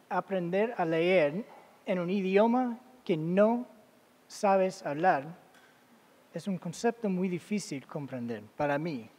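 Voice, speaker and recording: Halting man lecturing in a reverberant hall. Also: high-pitched, a little mellow. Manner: halting, many fillers and self-corrections. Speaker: guest lecturer. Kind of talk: academic talk to an audience. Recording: long reverb of a large hall.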